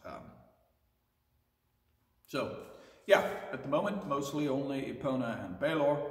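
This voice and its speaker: A man's voice: on the low side, distant mic. A man from West Tennessee with a Southern accent, casually answering viewer questions in a rambling vlog with dry, wry asides. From West Tennessee, USA. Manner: dry, wry asides delivered matter-of-factly. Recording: roomy reverberant sound from off-mic.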